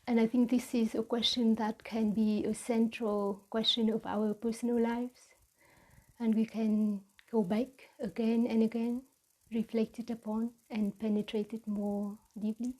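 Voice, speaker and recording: Mellow, even-toned Vietnamese-accented woman. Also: fairly high pitch, low-quality recording. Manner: slow, halting, deliberate phrasing. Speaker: meditation teacher. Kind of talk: reflective mindfulness talk.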